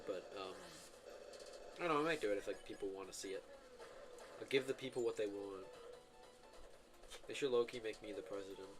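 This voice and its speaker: A man streaming a video game, giving casual, rambling commentary full of filler words and self-corrections. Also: medium pitch. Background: game music.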